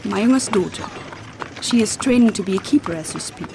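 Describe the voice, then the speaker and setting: A stern, commanding fantasy matriarch over music. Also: female voice, mid-range voice, expressive, fairly quick, creaky, poor audio. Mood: firm, explaining. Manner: imperious, scolding, formal archaic phrasing. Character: stern, commanding woman healer and mother, scornful of fools. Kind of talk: fantasy audio drama acting. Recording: loud music behind the voice.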